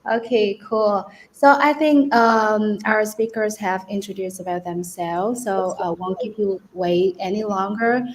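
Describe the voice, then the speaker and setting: A woman's voice: mid-range voice, fairly even intonation, measured pace, few pauses. A woman hosting a virtual event, introducing speakers in a hesitant, informal way. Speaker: event host. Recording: noisy online call.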